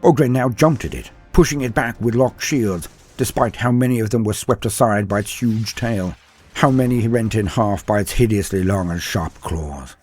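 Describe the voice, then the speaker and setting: A man's voice: fairly low pitch, moderate pace, a little mellow. A man reading a scripted war story as narrator, in a dramatic storytelling style. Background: faint music.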